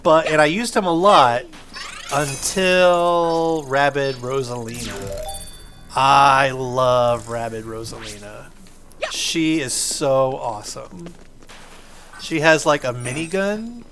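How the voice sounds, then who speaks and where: A man's voice: mid-range voice, fairly bright tone. A man hosting a gaming video, giving casual, enthusiastic gameplay commentary in an informal, explaining way. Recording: game music behind the voice.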